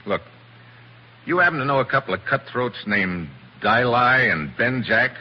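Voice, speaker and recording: An actor playing a wry, tough special agent in a 1940s radio adventure, talking in casual, sardonic slang. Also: male voice, medium pitch, animated, moderate pace, close to an everyday voice. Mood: sardonic, guarded. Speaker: lead actor of adventure series. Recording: muffled, noisy 1940s radio recording.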